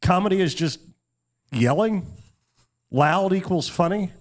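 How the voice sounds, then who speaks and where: A man with a fairly high, very animated voice gives comedic commentary as a podcast co-host. He is wry and slips into imagined quotes as he tells the story. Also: a little slow.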